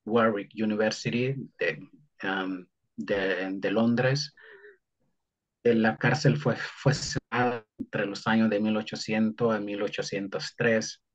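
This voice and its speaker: Un hombre hondureño cuya lengua materna es el garífuna cuenta en una entrevista una historia, con pausas, muletillas y frases que vuelve a empezar. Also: male voice, lowish voice, fairly even intonation, lo-fi sound. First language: Garifuna. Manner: halting, many fillers and restarts. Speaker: guest historian. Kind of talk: interview answer, historical account.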